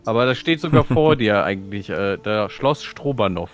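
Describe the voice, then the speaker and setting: A man's voice: on the low side, lively intonation, normal speed, soft background music. A man chatting casually as a tabletop player and narrating what his character does. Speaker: tabletop role-playing player.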